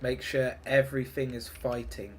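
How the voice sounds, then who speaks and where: A man's voice: medium pitch, fairly even intonation, normal speed, fairly bright tone, slight background noise. A man, congested from a heavy cold, casually commentating his own gameplay while laughing and muttering to himself. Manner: chatty, laughs often, mutters to himself between battle calls. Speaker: gaming streamer.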